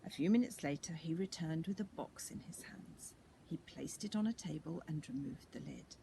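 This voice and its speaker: A woman reading a picture book to children in an animated, expressive storytelling style. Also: deep voice, normal speed, whispered, rough recording. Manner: animated, clearly paced narration.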